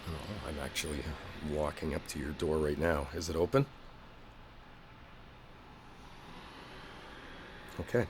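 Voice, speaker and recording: A man playing a frustrated, wounded boyfriend in a one-sided audio roleplay, talking in a naturalistic, halting way with a husky voice. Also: rumbling low, steady tone, noisy background. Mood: subdued, guarded. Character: frustrated, wounded boyfriend arguing with his partner.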